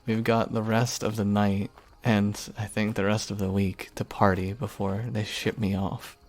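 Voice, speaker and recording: A man voicing a devoted young knight who earnestly confesses his love, speaking softly and haltingly. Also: lowish voice, little pitch movement. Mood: warm. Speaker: voice actor. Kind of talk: audio roleplay acting.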